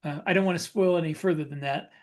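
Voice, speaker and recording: A man chatting casually as a podcast co-host, thinking aloud in a halting way with repeated phrases. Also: on the high side. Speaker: podcast co-host and science fiction author.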